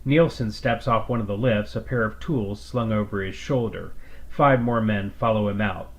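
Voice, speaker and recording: A man's voice: fairly low pitch, normal speed. Man narrating a scripted story.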